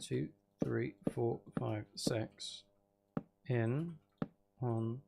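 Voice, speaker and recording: Creaky-voiced man murmuring through a game stream. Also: medium pitch, drawn-out words. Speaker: live streamer playing a building game. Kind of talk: casual chat with viewers while gaming.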